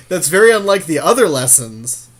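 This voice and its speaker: A man chatting casually as he commentates a card game with a co-host, jokey and agreeable. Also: sits high.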